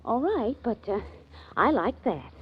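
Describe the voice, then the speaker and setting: An actress playing a bright, teasing young woman companion in a 1940s radio drama, with a chirpy manner. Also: fairly high pitch, sing-song, measured pace, highs cut off, slight background noise.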